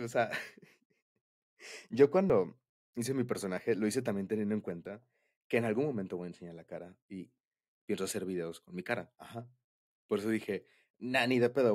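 A young Mexican man with a lowish, husky voice talks as a boyfriend in an intimate ASMR roleplay. He rambles casually and informally, with slang and a giggly manner. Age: young adult.

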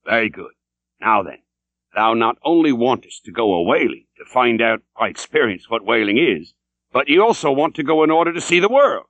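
Guy doing a gruff old sea captain, barking and fierce, voice swinging high and loud, dull dry sound. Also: sing-song, normal speed, dry recording. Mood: fierce, lecturing. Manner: booming, archaic, interrogating.

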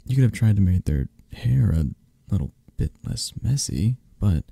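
An intimidating, possessive mafia boss played by a man in an intimate ASMR roleplay. His voice is very dark and husky, close and dry, teasing and flirtatious as it swings lazily between murmurs and firmer orders. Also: on the low side, lively intonation, no room sound, heavily acted. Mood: intrigued, flirtatious. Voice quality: husky, gravelly, at times breathy. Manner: slow, murmuring, teasing, with drawn-out pauses.

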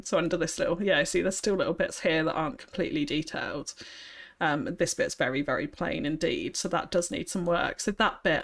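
A young woman with a high-pitched voice chatting on a live stream, bubbly and giggly, often thanking viewers. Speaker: streamer building a city. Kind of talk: casual live-stream chat.

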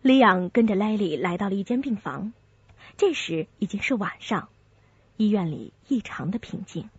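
Lady narrator, dramatic scripted read, expressive pitch, slightly muffled. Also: normal speed, husky, no echo. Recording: dull muffled audio over music. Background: music.

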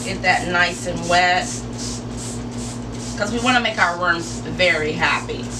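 A woman hosting an informal step-by-step how-to demonstration in a deliberate, instructional way, picked up by a camera a few metres away. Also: on the low side. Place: enclosed porch or sunroom. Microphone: camera mic a few metres away. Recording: distant mic, clearly noisy with background hum.

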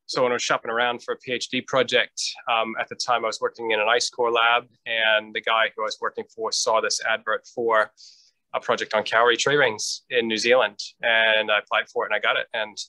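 Measured man on a headset call. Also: mid-range voice, sharp tone, no echo, poor audio. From New Hampshire, United States. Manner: measured, thoughtful, with frequent ums and restarts. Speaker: guest scientist. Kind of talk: interview over video call. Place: lit home room, indoors.

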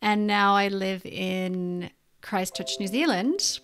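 A middle-aged woman from Canada with a clear Canadian accent speaks into a podcast microphone in a small indoor room. She explains things in a friendly, lively way, with a voice at a middle pitch for a woman. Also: takes it slowly. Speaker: naturopathic doctor, guest. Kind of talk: interview answers on video call. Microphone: podcast mic on boom arm.

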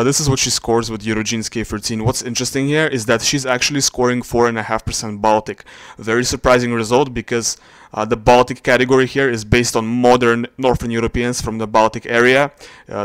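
A man's voice: mid-range voice, fairly bright tone, smooth, no echo. A man narrating a casual screen-recorded explainer, thinking aloud with frequent fillers. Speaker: genetics video host.

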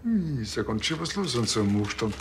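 Cheeky male patrol cop played by an actor, Bavarian accent, mid pitch, talkative, noisy crime-comedy scene. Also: sing-song, fast talker, close to an everyday voice. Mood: cheeky, chatty. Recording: clearly noisy background ambience.

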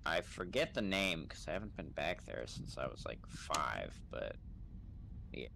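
A man's voice: a bass voice, sing-song, unhurried, vocal fry. A man improvising in character over game voice chat, speaking in a laconic, hesitant, dry way. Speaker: role-play gamer playing a newcomer. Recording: noisy gaming voice chat.

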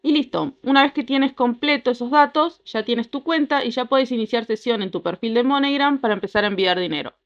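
A woman, reading a scripted app tutorial in an even, instructive way. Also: on the high side, brisk, few pauses, muffled recording. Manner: even, instructive step-by-step delivery. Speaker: tutorial narrator. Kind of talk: scripted voice-over narration.

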